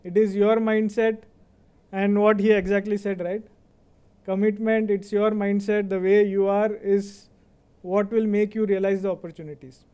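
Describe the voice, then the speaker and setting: A man's voice: high-pitched, flat delivery, moderate pace, dark tone, clear, resonant tone. A middle-aged Indian man whose first language is Kannada, giving a motivational convocation speech in Indian English. He talks conversationally and often checks in with "right?". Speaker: convocation speaker.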